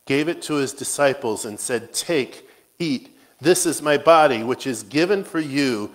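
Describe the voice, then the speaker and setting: A man serving as pastor, reciting a communion liturgy in a measured, solemn way in a church. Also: mid-range voice, lively intonation, low-quality recording. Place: church sanctuary.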